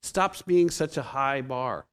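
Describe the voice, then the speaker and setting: A man's voice: mid-range voice, animated, slow pace, a bit bright, clean tone. A man in his fifties with a Pacific Northwest American accent, preaching a conversational sermon as a guest preacher, self-deprecating and often restarting his sentences. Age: 50s. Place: church sanctuary.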